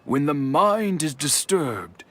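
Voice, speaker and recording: A man voicing a stern, patient old master swordsmith in a video game, husky and measured like a sage. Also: on the high side, big pitch swings, full character voice. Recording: game music behind the voice.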